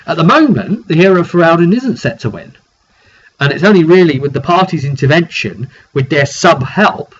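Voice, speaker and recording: A dull, lo-fi recording with clear noise carries a man of middling pitch. He speaks as a game master recapping his campaign informally, leaning on key phrases and pausing for drama. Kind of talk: informal video recap of a roleplaying session.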